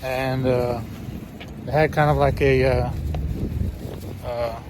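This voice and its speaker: A man in his thirties or forties talking casually to his phone as a trucker vlogger, in a halting, matter-of-fact way. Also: mid-range voice, little pitch movement. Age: 30s to 40s. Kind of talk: casual vlog talk. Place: truck cab and open parking lots outdoors. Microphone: phone at arm's length. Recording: noisy outdoor and truck-cab phone recording.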